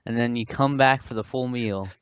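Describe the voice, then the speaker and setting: A young man with a smooth, clear voice ribs his co-host on a casual gaming podcast. The sound is dry and a little dull, like a lo-fi home recording. He laughs often and pushes back fast. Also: medium pitch, dry recording. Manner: teasing, laughs often, quick to contradict. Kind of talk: casual chat.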